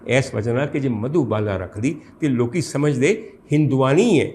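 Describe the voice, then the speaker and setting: A man's voice: medium pitch, normal speed, warm tone, slight background noise, lightly acted. A man voicing an indignant, outspoken Punjabi woman who recounts her grievances with emphatic, lamenting exclamations in a storytelling talk. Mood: gossipy, confiding.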